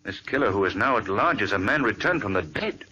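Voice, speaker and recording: A calm detective who reasons out clues in a measured, lively delivery. The voice is bright, with faint hiss behind it. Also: male voice, fairly low pitch, lo-fi sound. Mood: probing. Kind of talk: 1940s radio acting.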